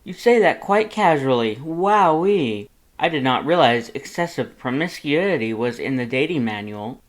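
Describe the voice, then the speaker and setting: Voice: mid-range voice, animated, measured pace, pitched above the performer's own voice, close to an everyday voice. A narrator voicing a bombastic, vain, falsely sweet male skeleton in a pompous, formal, theatrical manner. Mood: feigned innocent disapproval.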